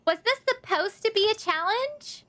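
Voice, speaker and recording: A woman's voice: very high voice, animated, normal speed, fairly bright tone, voice pushed up. A woman voicing a tiny, cute creature friend from a game, chirpy, polite and theatrical, with slight noise behind her. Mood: playful.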